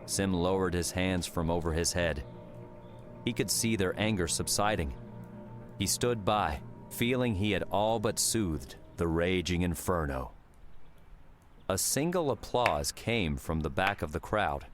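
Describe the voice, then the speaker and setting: A man's voice: low-pitched, animated, a little slow. A man narrating an audiobook story in a dramatic, scripted storytelling style. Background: music.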